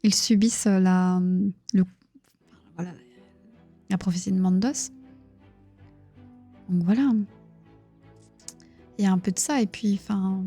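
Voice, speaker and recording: A woman with a Belgian accent reading a book aloud on a live stream in a hushed, near-whispering voice, stopping to chat with her viewers. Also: mid-range voice, slightly rough. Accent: Belgian French. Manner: reads narration in a hushed, near-whispered voice, chats plainly with viewers.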